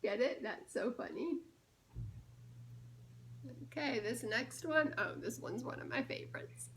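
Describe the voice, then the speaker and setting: A woman reading a picture book aloud to young children in a playful, expressive way, pausing to ask guessing questions. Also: on the high side, slight background noise, low-quality recording. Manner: playful, expressive, drawn-out delivery of key words with teasing pauses. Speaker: children's storybook reader and church children's ministries director.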